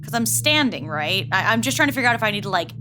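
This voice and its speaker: A woman's voice: a little high, sing-song, quick pace, sharp tone, a little hiss or hum. A young woman in a casual tabletop roleplay, chatty and giggly and full of fillers. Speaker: player.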